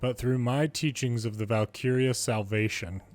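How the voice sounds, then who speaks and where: A man's voice: medium pitch, normal speed, a little mellow. A man reading video game dialogue aloud in English as the narrator of a longplay.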